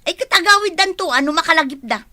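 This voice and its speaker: A woman playing an uneasy, defensive grocery seller in an audio drama, conversational and expressive. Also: very high-pitched, fast, a little hiss or hum, exaggerated voice.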